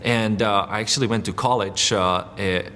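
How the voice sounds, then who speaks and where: A man's voice: lowish voice, fairly even intonation, moderate pace, sharp tone, in a large hall, slight background noise. A young Czech man, a missionary guest, speaking English with a Czech accent in an easygoing, filler-heavy way with frequent laughs. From Czech Republic. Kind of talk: casual interview.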